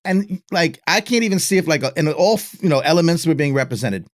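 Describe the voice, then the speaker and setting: A middle-aged man hosting an interview, musing in a relaxed, reflective way. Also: fairly high pitch, fast, dry recording, clean studio sound. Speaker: podcast host. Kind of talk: casual interview chat.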